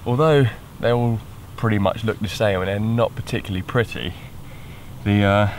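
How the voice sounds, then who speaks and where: A man's voice: on the low side, normal speed. A young British man talking casually as an angler in an outdoor fishing vlog. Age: young adult. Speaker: angler presenting a fishing video. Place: outdoors at a lakeside at night. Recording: clear background noise outdoors.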